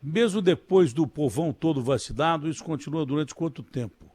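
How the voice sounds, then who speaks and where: A man's voice: on the high side, animated, brisk, a little mellow, slightly rough, no room sound. A man with a Brazilian Portuguese accent hosting a radio interview, speaking in a conversational, emphatic way. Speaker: radio host.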